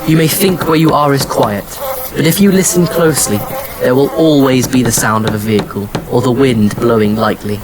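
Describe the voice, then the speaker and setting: A man playing a somber, determined wanderer, narrating a science fiction audio drama in the first person, measured and reflective. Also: medium pitch, a crowd in the background, natural voice, rough recording.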